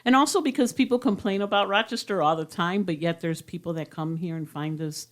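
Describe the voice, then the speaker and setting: A woman's voice: lowish voice, fairly quick. A woman hosting a radio interview, switching between Spanish and English in a chatty, laughing way. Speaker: radio host. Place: radio studio.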